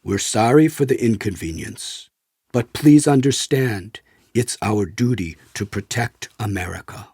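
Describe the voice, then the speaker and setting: A stern federal agent, played by a male narrator, commanding and threatening in an audiobook memoir. The voice is husky and measured, with a roomy echo around it. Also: fairly low pitch, studio quality, pitched below the performer's own voice. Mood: official, apologetic. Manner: forceful, official, commanding.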